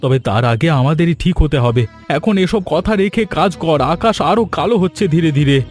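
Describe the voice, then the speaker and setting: A man's voice: a little high, lively intonation, brisk, darkish tone, soft background music, close to an everyday voice. A man playing a practical, reassuring elder brother in a cartoon family drama, a little hesitant. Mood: concerned, practical.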